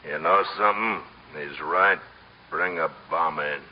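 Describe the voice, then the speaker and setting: An actor playing a sarcastic gangster boss who makes tough, curt, mock-friendly threats in a radio comedy. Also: male voice, sits low, measured pace. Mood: coolly sarcastic. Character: menacing, unhurried gangster boss, coolly sarcastic. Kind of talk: 1950s radio comedy acting. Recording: dull, noisy vintage radio recording.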